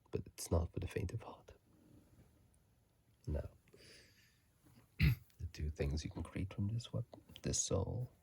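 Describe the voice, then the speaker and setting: An ASMR gaming host putting on a raspy, gravelly low voice for a hushed, drawn-out reading of game lore and tips into a phone microphone. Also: full character voice. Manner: slow, hushed, drawn-out delivery with throat clearing.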